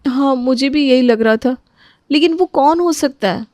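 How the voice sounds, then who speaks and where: A woman plays a meek young wife in a family drama. Her voice is pitched up high and sounds plaintive and pleading, in a dry recording. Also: moderate pace, darkish tone, dry recording, natural voice. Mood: worried. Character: distressed, meek young daughter-in-law, hurt and pleading. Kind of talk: Hindi family audio drama acting.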